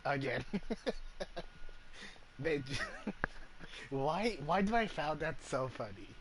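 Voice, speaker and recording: A man's voice: on the high side, expressive, slow pace, crowd noise. A man giving casual, hesitant, rambling commentary as he plays a video game. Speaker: gamer commenting on playthrough. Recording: loud game music behind voice. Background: loud game music.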